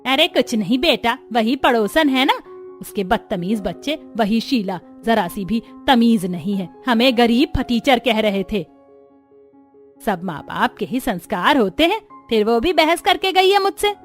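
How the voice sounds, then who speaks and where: Woman playing an indignant, sharp-tongued older mother-in-law. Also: a little high, expressive, unhurried, soft background music. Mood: aggrieved.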